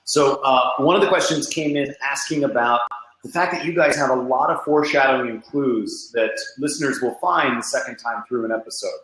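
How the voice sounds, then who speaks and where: A man's voice: medium pitch, a little fast, fairly bright tone. A man hosting an interview casually, with informal banter and questions for his guests. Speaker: podcast host, interviewer. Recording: very echoey room, clear background noise.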